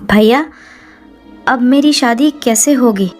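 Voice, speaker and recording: Woman playing a high, plaintive young sister. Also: slow, a little mellow, soft background music. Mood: worried. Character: anxious young sister awaiting marriage. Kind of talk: cartoon moral-story acting.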